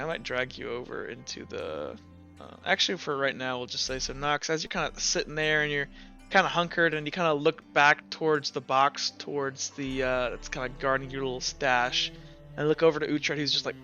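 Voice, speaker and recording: A man acting as game master, improvising narration for a tabletop game in an informal, halting way. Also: medium pitch, sharp tone. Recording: music behind the voice.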